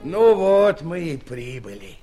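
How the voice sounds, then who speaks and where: A man's voice: fairly high pitch, very animated, slow pace, mellow, faint music behind. An actor playing a genial, hearty giant king in an audio play. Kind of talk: Soviet audio play acting.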